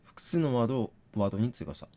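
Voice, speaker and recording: Man, game streamer, very lively pitch swings, reads text aloud, reasons through things out loud. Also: fast talker. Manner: thinks out loud, questioning himself and reasoning step by step. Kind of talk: gaming commentary mixed with read-aloud narration.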